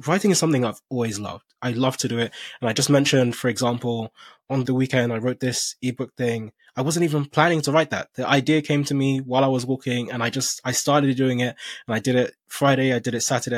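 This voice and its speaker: Husky reflective man, dry sound. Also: medium pitch, no echo. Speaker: podcast host. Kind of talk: reflective conversational podcast talk.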